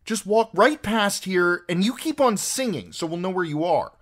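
Man voicing a high, defiant little runaway girl. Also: animated, moderate pace, fairly bright tone. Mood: fierce, defiant. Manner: blunt, bristling, commanding.

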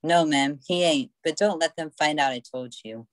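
A man's voice: on the high side, moderate pace, no room sound, lightly acted. A man playing a reluctant, slangy young boy in an amateur old-time radio play. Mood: flustered, reluctant.

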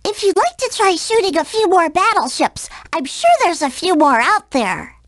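Tiny, very squeaky game creature. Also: female voice, expressive, moderate pace, fairly bright tone, dry recording, clean studio sound. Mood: earnest. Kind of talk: video game character dialogue.

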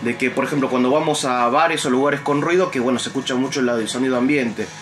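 A man's voice: medium pitch, fast talker, fairly bright tone, faint music behind. A young man with an Argentine Spanish accent hosting a casual group chat, recorded indoors by a camera across the table. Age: young adult. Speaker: host. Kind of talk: casual group chat, discussing viewer survey. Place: small tatami inn room, indoors.

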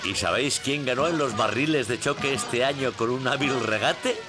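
An actor playing a kindly old gnome who tells a cartoon story expressively to young viewers. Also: male voice, mid-range voice, normal speed, loud music behind the voice, close to an everyday voice. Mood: cheerful storytelling. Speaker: narrator and main character. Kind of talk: animated cartoon storytelling, Spanish dub.

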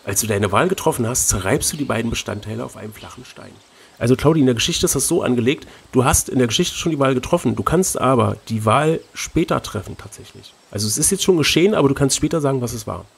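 A man reading an adventure gamebook aloud as host, switching between expressive narration and casual chat. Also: medium pitch, quick pace, gravelly, slightly rough.

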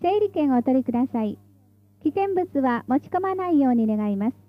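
A woman's voice: high-pitched, animated, moderate pace, phone-quality sound. A woman reading scripted tram announcements in a formal, polite, carefully enunciated way, as the stop announcer.

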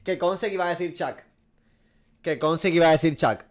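A man's voice: fairly high pitch, moderate pace. A man in his twenties with a Spanish accent from Spain, a streamer casually reacting aloud with slang and swearing. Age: 20s. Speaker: gaming streamer. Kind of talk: casual reaction commentary over a quiz. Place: small room at a desk, indoors.

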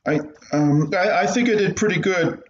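A man's voice: sits high, normal speed, echoey room, muffled recording, voice pushed up, close to an everyday voice. A man voicing a timid, stammering young schoolboy in a radio play. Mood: nervous. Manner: nervous, stammering. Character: timid young schoolboy answering his stern mother.

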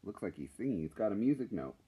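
A man's voice: on the low side, fast. A man in his forties, a teacher reading aloud to children in an encouraging, playful way. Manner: animated, encouraging. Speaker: primary school teacher. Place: small classroom, indoors.

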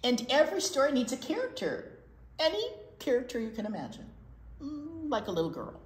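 Expressive older American woman reading to children. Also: high-pitched, fairly quick. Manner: expressive, explains things simply for children. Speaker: children's storytime reader at a library. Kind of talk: read-aloud picture book storytelling. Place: library children's room, indoors. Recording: roomy, echoey indoor sound.

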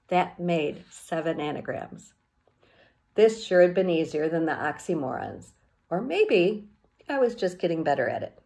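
A middle-aged woman reading a children's novel aloud as an expressive storyteller, recorded at home on a handheld-distance device. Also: fairly low pitch, measured pace, creaky. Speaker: teacher reading a book aloud. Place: small home room with bookshelves.

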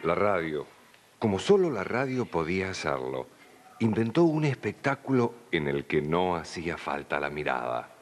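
A man's voice: deep voice, big pitch swings, normal speed, soft background music. A middle-aged Argentine man reading scripted, dramatic narration into a studio microphone.

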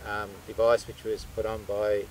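A man with a somewhat low voice speaks haltingly and often stops to restart. He is a guest in a casual interview on a beach. Wind noise is clearly heard on the outdoor recording. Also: completely flat delivery, darkish tone, poor audio. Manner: halting, many fillers and restarts. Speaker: guest who recovered the yacht. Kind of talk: casual interview, telling what happened.

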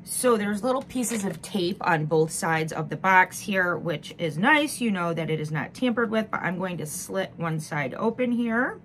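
A woman in her thirties from Michigan, slightly hoarse, chatting casually through a beauty box unboxing at home. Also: fairly low pitch, lively intonation, moderate pace, few pauses, fairly bright tone, a little hiss or hum. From Michigan, USA. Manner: chatty, frequent ums and uhs. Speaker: beauty vlogger. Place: home room with wooden wall backdrop.

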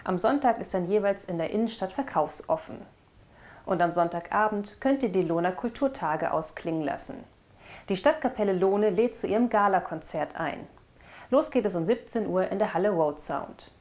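A woman's voice: mid-range voice, expressive, moderate pace, darkish tone. A young woman presenting scripted local news as host, recorded indoors on a lapel mic. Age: young adult. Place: indoor studio with blue backdrop.

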